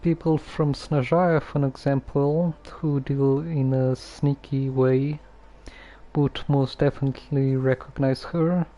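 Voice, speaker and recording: Slow, mellow-voiced man streaming a game. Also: medium pitch, level tone, rough recording. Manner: hesitant, halting, musing. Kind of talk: casual game commentary and replies to characters.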